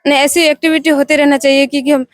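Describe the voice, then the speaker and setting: A young woman gives an informal film review, halting as she searches for her words. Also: sits high, flat delivery, no echo, clean studio sound. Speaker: film festival participant.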